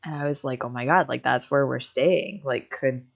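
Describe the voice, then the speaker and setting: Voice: medium pitch, normal speed, vocal fry. A young adult from Michigan with an American accent, chatting casually on a livestream in a rambling, backtracking way full of repeated phrases. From Michigan, United States.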